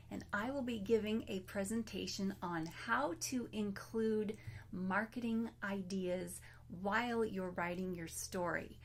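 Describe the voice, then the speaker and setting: A woman's voice: mid-range voice, vocal fry. Weak-voiced woman author presenting. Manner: chatty, self-deprecating, with fillers. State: losing her voice, weak. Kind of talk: casual recorded presentation.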